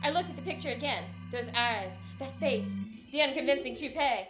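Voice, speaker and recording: A woman's voice: high-pitched, brisk, lightly acted. A woman playing an overwrought, sardonic wife in a parody of 1940s radio drama. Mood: narrating, deadpan. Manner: overwrought, theatrical. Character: hysterical melodramatic 1940s wife, Patricia, with a sardonic streak. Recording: roomy echo under loud music.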